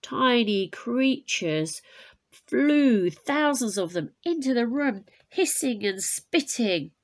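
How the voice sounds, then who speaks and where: A woman reads a children's story aloud like a teacher before her class. Her delivery is slow and careful, with animated pitch and dramatic stress on important words. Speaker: teacher reading a picture book to primary pupils. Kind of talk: expressive storybook read-aloud.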